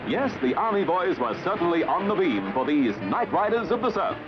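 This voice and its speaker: A man's voice: on the high side, sing-song, normal speed, highs cut off, over music. A man with an Australian accent narrating in scripted 1950s newsreel style, with a theatrical, jaunty announcer delivery. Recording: band-limited vintage 1950s newsreel soundtrack.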